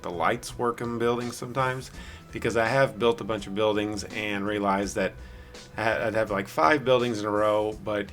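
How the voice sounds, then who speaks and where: A man with a raspy, hoarse voice giving rambling, self-deprecating casual commentary as a gameplay video host. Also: fairly low pitch, fairly bright tone. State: hoarse from singing the night before.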